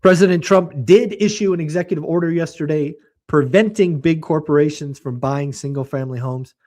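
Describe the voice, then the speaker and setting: A man hosting a real estate show, giving blunt, emphatic market commentary in a slightly hoarse voice. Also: fairly high pitch, brisk, a little mellow. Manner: emphatic, blunt and colorful, mocking. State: slightly hoarse, losing his voice.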